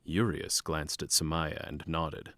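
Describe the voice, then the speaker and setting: A man's voice: a bass voice, fairly even intonation, takes it slowly, pushed and bright, no echo, clean studio sound, exaggerated voice. A man narrating a fantasy tale in a scripted storytelling style. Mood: measured.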